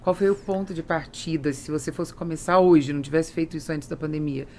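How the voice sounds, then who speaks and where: A woman's voice: deep voice, fast talker, dark tone, a little hiss or hum. A woman with a Brazilian accent hosting a podcast in a conversational interview style, telling stories in an emphatic way. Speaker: podcast host.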